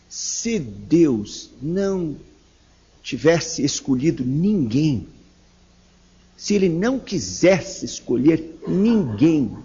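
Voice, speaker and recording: A man from São preaches slowly and deliberately, his husky voice swinging widely in pitch as he presses each point. He delivers an emphatic sermon with fervent rises on key words. The sound is dull and lo-fi. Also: darkish tone. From São Paulo, Brazil. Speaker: pastor. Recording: dull lo-fi recording.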